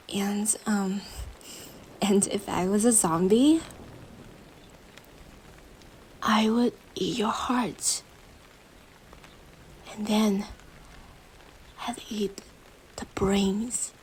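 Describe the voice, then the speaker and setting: A woman's voice: medium pitch, darkish tone, a little hiss or hum, poor audio. A woman playing a young, obsessive yandere girlfriend in a roleplay monologue, syrupy and menacing, speaking intimately to her partner with a jealous intensity. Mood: dark, tender obsession. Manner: slow, sugary endearments swinging into intense jealous outbursts. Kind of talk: dramatic roleplay monologue addressed to the listener.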